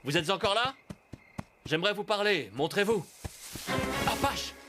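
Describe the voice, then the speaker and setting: A man's voice: high-pitched, animated, unhurried, music in the background. A man voicing a proud, stubbornly independent young horse in a children's cartoon. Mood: annoyed. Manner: defensive, proud. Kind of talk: French dubbed children's cartoon acting. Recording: loud noise behind the voice.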